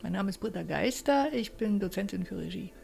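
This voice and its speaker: Female directing lecturer, documentary self-introduction recorded in an office, noticeable vocal fry. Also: medium pitch, lively intonation, a little fast, darkish tone. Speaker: drama school directing lecturer. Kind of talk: spoken self-introduction for a documentary radio play.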